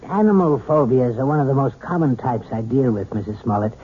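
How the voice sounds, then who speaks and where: An actor playing a calm, courteous hypnotherapist in an old radio drama. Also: male voice, medium pitch, a little hiss or hum, natural voice. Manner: measured, courteous, hesitant fillers. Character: calm, polite male hypnotherapist doctor. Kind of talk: 1970s radio drama acting. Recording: tinny old radio broadcast.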